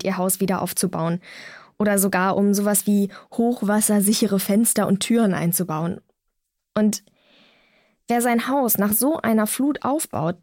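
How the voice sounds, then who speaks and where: A woman's voice: mid-range voice, darkish tone, dry recording, clean studio sound, close to an everyday voice. A young woman playing an earnest, defiant climate activist who argues in a colloquial way in naturalistic audio drama. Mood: troubled, earnest.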